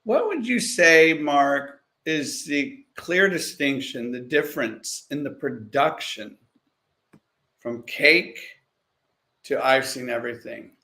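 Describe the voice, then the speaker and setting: A man hosting a chat, asking guests measured questions. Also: medium pitch, animated, pushed and bright. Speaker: host. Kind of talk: podcast interviewing.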